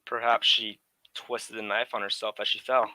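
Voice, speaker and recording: A man plays a brazen impostor villain in a radio play. The voice is fairly low but bright and forceful, recorded dry, with a formal, defiant manner. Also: little pitch movement, normal speed, no room sound. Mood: smug, cooperative. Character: brazen male impostor and deserter, smooth-talking villain. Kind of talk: radio drama acting.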